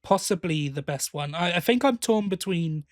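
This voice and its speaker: A man's voice: high voice, lively intonation, moderate pace, dry recording, clean studio sound. A man co-hosting a podcast in casual review chat, often hesitating and correcting himself as he goes. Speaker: podcast co-host.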